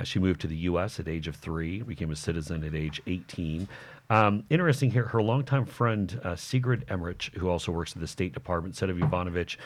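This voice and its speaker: A man appearing as a political commentator, laying out confident talking points in a brisk, practiced way. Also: low-pitched.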